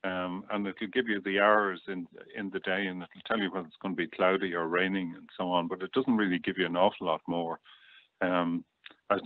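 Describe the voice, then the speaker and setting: A male guest gives casual, plain-spoken explanations. His voice is very deep, coming over a narrow, phone-like line with no room sound at all. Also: dry recording. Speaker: guest app reviewer. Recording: tinny phone-like line, very dry.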